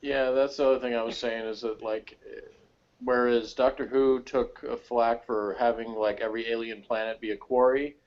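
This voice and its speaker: A man's voice: medium pitch, flat delivery, moderate pace. A man co-hosting a casual, opinionated chat, talking in a meandering, conversational way peppered with "like". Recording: slightly dull, lo-fi voice recording.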